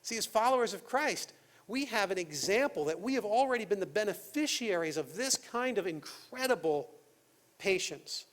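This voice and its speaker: A man preaching a Sunday sermon in a church, speaking conversationally, asking rhetorical questions and dropping to quiet emphasis on key points. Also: sits high, expressive, moderate pace. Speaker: pastor. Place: church sanctuary.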